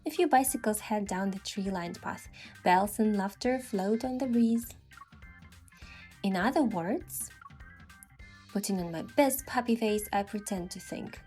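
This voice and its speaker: A woman narrates a story in the first person from a script. Her voice sits at an average female pitch, with faint music underneath. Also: unhurried, long pauses, darkish tone. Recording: music behind the voice.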